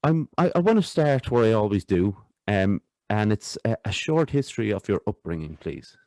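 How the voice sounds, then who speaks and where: A man's voice: lowish voice, very animated, moderate pace, darkish tone, no room sound, clean studio sound. A man hosting a podcast, talking loosely with lots of fillers. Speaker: podcast host. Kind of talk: casual podcast interview.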